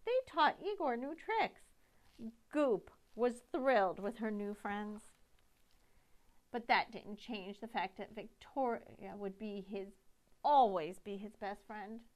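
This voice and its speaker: A middle-aged woman reading a picture book aloud to children, with dramatic, deliberate phrasing. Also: medium pitch. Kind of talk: expressive storytime read-aloud.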